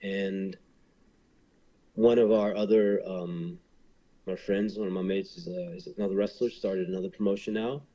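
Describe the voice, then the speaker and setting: A man's voice: low-pitched, fairly even intonation, moderate pace, mellow, poor audio. A man in his thirties from Hong Kong, a pro wrestler, talking casually in an interview and rambling with many fillers and restarts.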